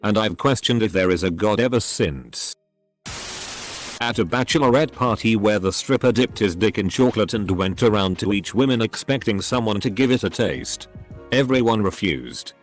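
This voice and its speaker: A man with a lowish, lively voice narrates personal stories from the internet. His pitch moves around freely as he reads the script. Faint music plays behind him. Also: moderate pace.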